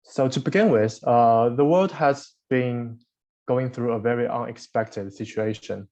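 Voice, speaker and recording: A man's voice: mid-range voice, unhurried, a little mellow. A young man giving a formal student presentation over a video call. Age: young adult. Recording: dull, band-limited video call audio.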